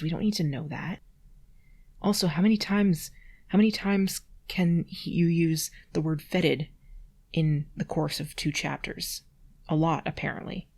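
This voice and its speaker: A mid-pitched reader, sex unclear, reading prose aloud and adding incredulous, nitpicking, giggly asides. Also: breathy edge. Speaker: amateur reader doing a read-aloud with commentary.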